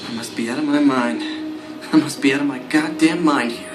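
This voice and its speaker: A man's voice: mid-range voice, fairly even intonation, fairly quick, natural voice. A man playing a nervy young office worker turned cocky supervillain trainee in a motion comic. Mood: panicked, exasperated. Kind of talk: motion comic acting and first-person narration. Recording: roomy sound over clear music.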